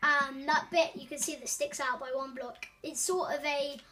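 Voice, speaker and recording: A woman's voice: high-pitched, steady tone, moderate pace, sharp tone, far from the mic, slight background noise. A woman hosting a casual building walkthrough, rambling with many ums and self-corrections. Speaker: gaming tutorial host.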